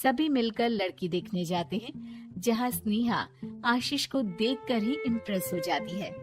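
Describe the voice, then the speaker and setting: A woman narrating a cartoon story in an expressive, scripted storytelling manner. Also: a little high, a little slow, faint music behind.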